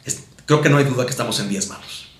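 A man's voice: mid-range voice, fast talker, slight background noise. A man with a Mexican accent teaching a sermon in a didactic way, repeating his points and checking in with rhetorical questions. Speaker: pastor teaching at a missions conference. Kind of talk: teaching sermon with Bible readings. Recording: roomy, echoing sound.

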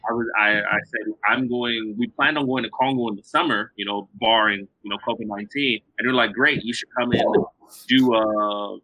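A man hosting a podcast on a live video call, talking in a halting way full of ums and restarts. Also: fairly low pitch, steady tone, dry recording. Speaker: podcast host. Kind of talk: casual live-stream interview. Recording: dull video call audio.

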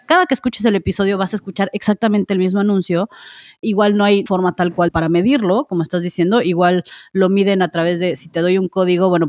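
A woman's voice: medium pitch, darkish tone, no echo, studio quality. A woman giving conversational commentary as a podcast co-host.

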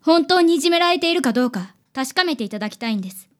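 High-voiced, worried young woman acted by a woman. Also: animated, very fast. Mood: concerned. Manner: polite, sincere. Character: worried, polite university student, caring older sister. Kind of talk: radio drama acting.

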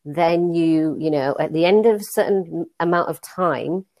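A middle-aged woman from Leeds with a Northern English accent, a guest telling stories in a relaxed interview with many fillers and restarts. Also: lowish voice, normal speed, no room sound. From Leeds, England. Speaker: guest, money advisor. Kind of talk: conversational interview.

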